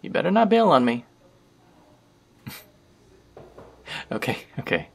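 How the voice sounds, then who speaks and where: A teasing, talkative young barista, voiced by a man in an audio roleplay, husky with a gravelly edge. His pitch sits low but swings widely as he rambles and jokes. The tone stays friendly and playful. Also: wildly swooping pitch, slow, full character voice. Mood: rambling.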